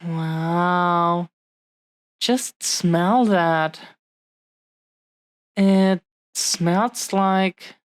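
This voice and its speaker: Very slow, tender dragon-blooded woman, acted by a woman. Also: mid-range voice, no room sound. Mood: affectionate, gentle. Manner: hushed-paced, halting, affectionate, earnest delivery with long pauses. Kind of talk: intimate roleplay audio drama, addressing the listener.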